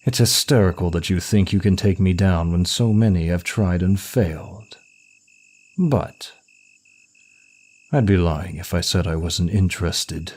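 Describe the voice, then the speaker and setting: Man as a deep, slow, seductive demon of lust. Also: a little mellow. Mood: amused, smug. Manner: teasing, slow, deliberate seductive delivery. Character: suave male demon of lust, seductive and smug. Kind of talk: ASMR roleplay acting.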